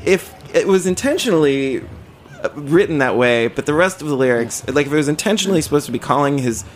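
A man's voice: on the high side, lively intonation, fast talker, a little hiss or hum. A man chatting casually as a guest on a talk show, a musician by trade. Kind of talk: casual chat.

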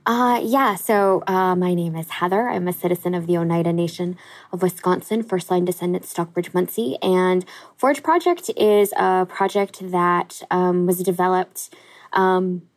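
Relaxed woman guest with many fillers. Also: on the low side, a little slow. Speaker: guest, director of education. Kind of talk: interview conversation.

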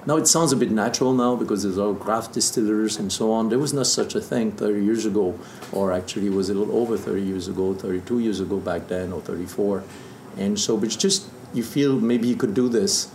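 Male, 50s, French accent, native French speaker, lower register, casual storyteller. Echoey room, some background noise. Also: a little fast, few pauses, a little mellow. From southern Burgundy, France. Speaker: interview guest, distiller.

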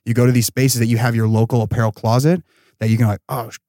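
A man with a medium-pitched voice talks casually as an interview guest. He sounds enthusiastic and slangy, leaning on conversational fillers like "you know." The sound is dry, with very little room to it. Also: level tone, quick pace, dark tone, no room sound. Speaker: podcast guest, startup founder.

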